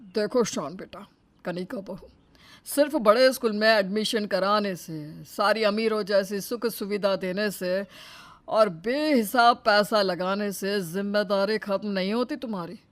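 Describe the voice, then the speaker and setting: A woman's voice: medium pitch, measured pace, lightly acted. A woman playing a wise, firm elderly mother-in-law in a cartoon story, admonishing and plain-spoken. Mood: stern advising. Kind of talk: Hindi cartoon story acting.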